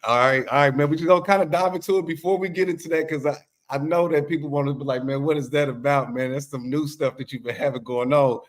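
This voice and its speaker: A man's voice: a little high, fairly even intonation, fast talker. A man hosting a casual podcast interview, talking loosely and laid-back and peppering his sentences with "man". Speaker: podcast host.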